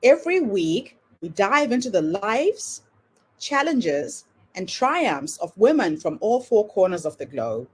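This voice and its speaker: A woman hosting a podcast, reading a scripted welcome and then greeting her guest in a measured, welcoming way. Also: mid-range voice, sing-song, breathy edge. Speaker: podcast host. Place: Melbourne, Australia.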